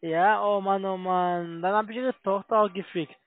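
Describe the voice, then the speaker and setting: A man's voice: high-pitched, fairly even intonation, normal speed, dry recording. A man cold-calling as a call-centre agent, pushy and using non-native phrasing. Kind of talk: phone sales call. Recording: narrow, tinny phone line.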